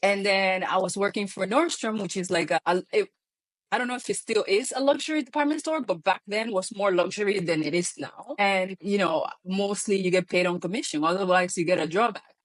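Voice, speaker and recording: A woman with a Dominican accent chats as an interview guest. Her telling is animated, and she jumps into the voices of the people she quotes. She laughs often as she talks. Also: medium pitch, fairly quick, rough recording. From Dominican Republic. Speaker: guest, real estate founder. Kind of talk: conversational interview.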